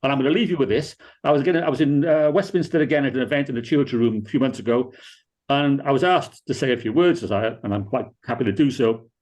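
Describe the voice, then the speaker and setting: Man, Cardiff accent, husky voice, emphatic, panel speaker. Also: medium pitch, fast talker, darkish tone. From Wales. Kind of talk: panel discussion.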